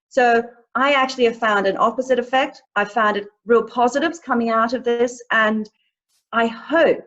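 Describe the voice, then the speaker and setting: A woman speaks earnestly as a panelist in a video call discussion, her voice set a little high. The sound is muffled and dull, like a laptop call. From outside the UK.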